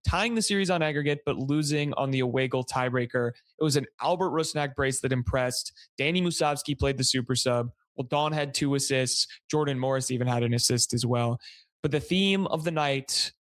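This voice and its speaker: A man guest-hosting a sports podcast, delivering a brisk, semi-scripted match recap with wry asides. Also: medium pitch, no room sound.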